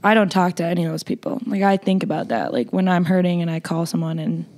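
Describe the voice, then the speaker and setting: A young woman, a podcast guest, chatting bluntly and loudly with lots of "like" fillers. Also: fairly low pitch, quick pace. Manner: loud, blunt, frequent "like" fillers. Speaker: podcast guest, makeup artist. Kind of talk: casual interview chat.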